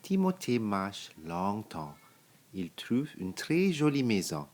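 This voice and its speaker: Deep, slow-paced man reading a storybook. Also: very animated, darkish tone. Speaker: storybook reader. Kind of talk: children's picture-book read-aloud.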